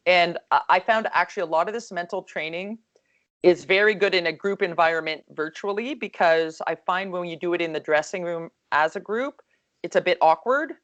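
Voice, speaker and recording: A woman with a low, calm voice talks about coaching over a video call. Her speech is relaxed and rambling, full of fillers and self-corrections, and her tone stays soothing. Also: a little fast. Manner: chatty, lots of fillers and restarts. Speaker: hockey coach. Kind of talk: casual video call discussion.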